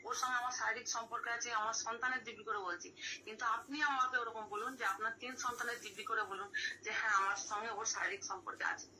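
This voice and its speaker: A woman's voice: fairly high pitch, steady tone, quick pace, sharp tone. A woman pleading insistently and repeating herself in an emotional argument on a phone call recording. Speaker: caller confronting another woman.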